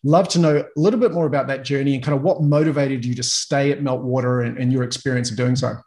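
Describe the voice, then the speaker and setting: A man's voice: mid-range voice, fairly even intonation, fast talker, a little mellow. New Zealand man hosting an interview. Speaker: interview host. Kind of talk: casual interview chat.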